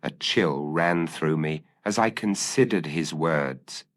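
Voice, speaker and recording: A man narrating a gothic mystery story in a measured, solemn, dramatic style. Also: extremely low pitch. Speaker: audiobook narrator.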